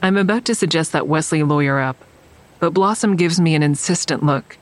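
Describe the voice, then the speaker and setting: A woman's voice: deep voice, brisk, close to an everyday voice. Woman as a weary first-person drama narrator. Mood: weary, watchful.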